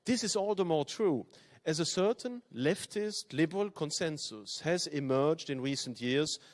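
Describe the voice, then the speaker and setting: A man from Belgium whose first languages are German and French, giving a measured, formal opening address as panel chair. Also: fairly high pitch, animated. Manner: measured, formal, reads from a text. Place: conference hall.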